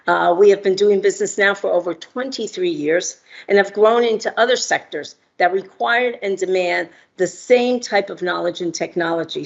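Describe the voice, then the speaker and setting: A woman's voice: lowish voice, lo-fi sound. A middle-aged woman from Argentina giving a talk as an entrepreneur, friendly, with many ums and uhs. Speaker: guest speaker, entrepreneur. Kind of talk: prepared remarks with fillers.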